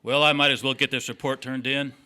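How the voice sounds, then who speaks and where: An actor playing a wry, probing, coolly skeptical district attorney in a noir stage drama. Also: male voice, medium pitch, level tone, fairly quick, pushed and bright, smooth.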